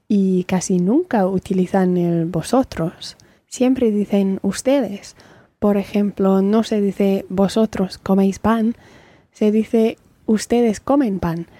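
A woman voicing a chatty character in a scripted textbook dialogue, speaking in a clear, measured way. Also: medium pitch, mellow, no room sound.

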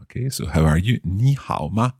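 A Scottish man hosting a language podcast, speaking in an upbeat, careful way and practising phrases slowly. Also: deep voice, expressive, a little mellow, slightly rough, no room sound, studio quality. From Scotland. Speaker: language course host. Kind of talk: scripted podcast lesson.

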